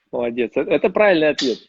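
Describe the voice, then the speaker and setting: A man whose first language is Russian, hosting a casual online chat as an English teacher, friendly and halting with many restarts. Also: a little high, slight background noise. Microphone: video call. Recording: dull, dry video-call audio.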